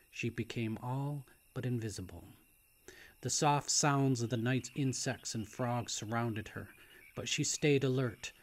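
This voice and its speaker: A man reading a novel aloud as a dramatic narrator, in a hushed, deliberate and suspenseful way that at times nears a whisper. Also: mid-range voice. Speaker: author reading own novel. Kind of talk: dramatic audiobook-style story narration.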